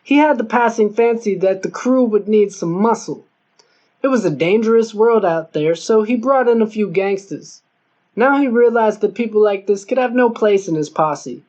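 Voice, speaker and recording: A man's voice: high voice, moderate pace, a little mellow. Man narrating a novel, dull lo-fi sound. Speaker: audiobook narrator. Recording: dull lo-fi recording.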